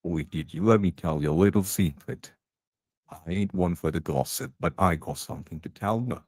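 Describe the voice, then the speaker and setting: A man's voice: low-pitched, normal speed, a little mellow, breathy edge, no room sound, studio quality. A man playing a gossiping canteen tea lady, chatty and blunt with plenty of slang.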